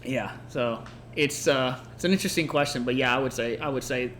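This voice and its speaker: A man's voice: medium pitch, fairly quick, fairly bright tone. Earnest man hosting a chat podcast. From Mexico. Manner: earnest, thoughtful, many filler words. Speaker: podcast co-host. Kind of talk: casual unscripted conversation. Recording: faint background noise.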